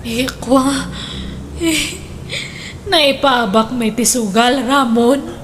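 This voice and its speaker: A woman's voice: high voice, extremely slow, a little hiss or hum. A woman playing a fretful, easily led young woman in a comedic radio drama, speaking with a Filipino accent. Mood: distressed, pleading.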